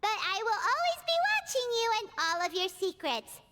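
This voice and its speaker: A woman voicing a small, eager floating fairy companion who chatters in a bubbly, excitable way. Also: very high voice, moderate pace, noisy background. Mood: reading aloud. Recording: game audio with roomy reverb.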